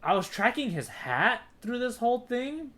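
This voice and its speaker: Lively, bright-voiced male podcast co-host. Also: very high voice, normal speed. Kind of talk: casual chat, reacting to a recap.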